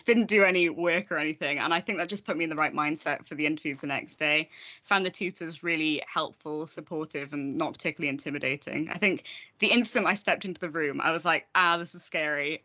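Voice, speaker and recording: A man's voice: on the high side, pushed and bright. A young man, upbeat and jokey in a casual group chat. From South Wales. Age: young adult. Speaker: student guest. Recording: dull lo-fi audio.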